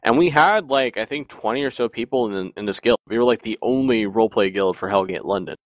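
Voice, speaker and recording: Low-voiced man rambling through stories. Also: fairly quick, no room sound. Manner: rambling, animated storyteller who often laughs. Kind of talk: casual podcast chat.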